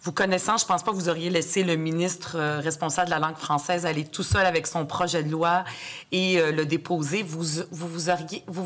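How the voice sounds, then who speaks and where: A woman's voice: lowish voice, a bit bright. A woman with a Québécois accent, a legislator asking long, hesitant questions at a committee hearing. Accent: Québécois French.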